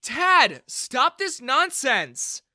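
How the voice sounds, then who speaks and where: A man's voice: very high voice, sing-song, drawn-out words, sharp tone, dry recording, studio quality, voice pushed up, full character voice. A man voicing a spirited, protective young woman who speaks with earnest indignation in a storybook narration. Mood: angry.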